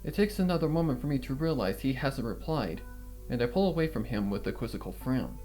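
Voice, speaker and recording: A man's voice: mid-range voice, lively intonation, a little fast, warm tone, soft background music, poor audio. A man reading scripted first-person fan fiction as a story narrator. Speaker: story reader.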